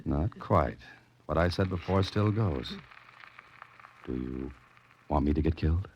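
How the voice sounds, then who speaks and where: A man's voice: a bass voice, animated, unhurried. An American man playing a tough, wry adventurer-sleuth in a 1940s radio suspense drama, with a clipped, insistent delivery. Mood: earnest persuasion. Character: tough, wry male adventurer-sleuth, narrating and pressing for answers. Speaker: lead actor and narrator. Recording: noisy, muffled vintage 1940s radio recording.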